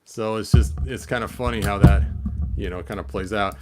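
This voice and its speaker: A man's voice: on the low side, fairly even intonation, moderate pace, a little mellow, soft background music. A man hosting a toy collector channel, chatting in a rambling way with many fillers and restarts, then reading a picture book aloud.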